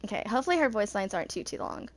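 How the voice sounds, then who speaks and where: A woman in her twenties reading game lore aloud in a halting, amateur way, stumbling on long words, as a casual fan vlogger. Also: mid-range voice, very animated, noisy background. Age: 20s.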